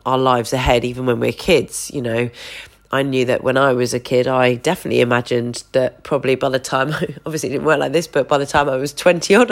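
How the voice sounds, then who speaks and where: A woman in her thirties with a stuffy, bunged-up voice, hosting a candid solo podcast from her sofa and recorded on her phone. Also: very deep voice. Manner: candid, gently meandering with many fillers. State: congested, stuffy nose. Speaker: podcast host. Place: home sofa.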